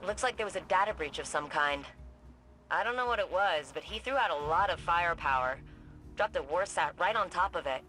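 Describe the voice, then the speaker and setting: A woman's voice: lowish voice, animated, fairly quick, bright, forceful tone, faint music behind, low-quality recording. A woman acting an earnest, puzzled young investigator in a video game, thinking aloud in a conversational way as she briefs soldiers. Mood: intent.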